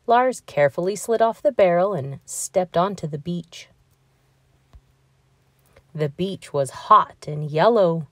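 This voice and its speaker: Woman reading a children's story with lively pitch. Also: dry recording. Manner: expressive, deliberate storytelling. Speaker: storybook reader. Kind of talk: children's read-aloud narration.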